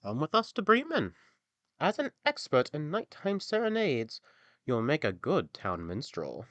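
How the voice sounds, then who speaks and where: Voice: mid-range voice, wildly swooping pitch, a little slow, a bit bright, no room sound, pitched above the performer's own voice. A man voicing a friendly, encouraging old donkey in a fairy tale, with a hearty, persuasive manner.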